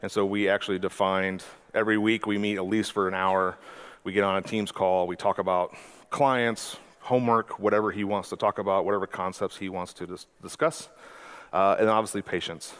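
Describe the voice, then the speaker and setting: Lowish-voiced man in his forties presenting. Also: steady tone, a little fast, a bit bright, smooth. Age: 40s. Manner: conversational, self-correcting presenter with frequent "uh". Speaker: mentor and conference speaker. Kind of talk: conference talk.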